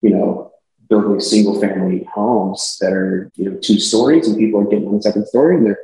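Deep-voiced man in an echoey room. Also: brisk, gravelly. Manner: explanatory, businesslike, relaxed. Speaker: company executive, guest. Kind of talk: casual interview answers.

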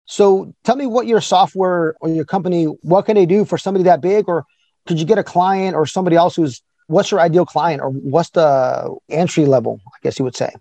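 Fast, high-voiced enthusiastic man in his forties. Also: gravelly, mellow, dry recording. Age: 40s. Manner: enthusiastic, chatty, quick-talking. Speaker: podcast host. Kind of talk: casual interview chat.

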